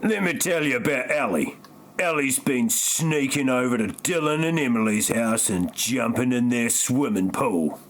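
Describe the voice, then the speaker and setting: A man's voice: medium pitch, expressive, measured pace. A man playing an irritable, bitter, melodramatic wife in a parody audio play. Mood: irritated.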